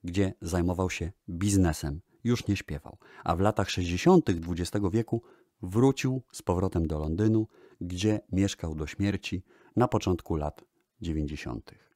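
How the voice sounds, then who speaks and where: A man hosting a music history show, narrating in a measured, formal radio style and addressing the listeners. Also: sits low, darkish tone, no echo, studio quality. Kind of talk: radio-style narration about old recordings.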